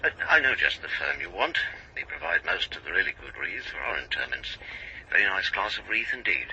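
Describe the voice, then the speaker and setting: An actor playing a deferential, obliging funeral director with a formal manner in a radio drama. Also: male voice, low voice, sing-song, fairly quick, pushed and bright, breathy edge, full character voice. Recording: dull, noisy telephone line.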